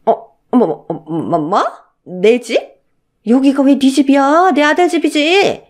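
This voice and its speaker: A woman plays a domineering older mother-in-law in a story drama. Her scolding is shrill and scornful, swinging widely in pitch with a haughty sneer. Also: expressive, takes it slowly, a little mellow. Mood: indignant. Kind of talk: Korean melodramatic story acting.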